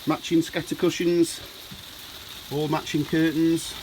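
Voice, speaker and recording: A man's voice: a little high, level tone, unhurried, background noise. A man giving a chatty walkaround tour of a motorhome, filmed on a handheld camera, talking to viewers as folks. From United Kingdom. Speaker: motorhome dealer and reviewer. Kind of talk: walkaround video review. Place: inside a motorhome, rain outside. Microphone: handheld camera mic.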